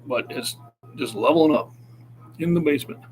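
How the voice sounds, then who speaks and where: A man's voice: fairly high pitch, moderate pace, a little hiss or hum, low-quality recording. A man in his sixties, a fitness coach talking casually on a livestream and urging viewers to keep active. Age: 60s. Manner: encouraging, motivational.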